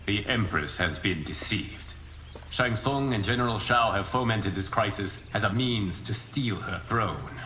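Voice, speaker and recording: An actor playing a solemn, commanding god-like guardian in a video game cutscene, speaking in grave, formal declarations. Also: male voice, fairly low pitch, unhurried, a little hiss or hum. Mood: grave, persuasive. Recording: loud cinematic music behind.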